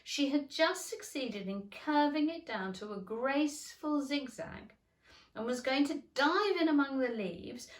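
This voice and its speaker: A woman reads a children's story aloud in expressive narration. Her voice is fairly high with very lively intonation, recorded in a room with noticeable echo. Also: distant mic. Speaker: teacher reading aloud. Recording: roomy, echoing room.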